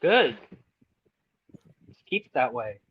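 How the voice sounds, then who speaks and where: A man's voice: mid-range voice, sing-song, slow pace, husky, dry recording, lo-fi sound. A man co-hosting a podcast, talking in a blunt, crude, sardonic way. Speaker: podcast co-host. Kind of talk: casual profane podcast banter.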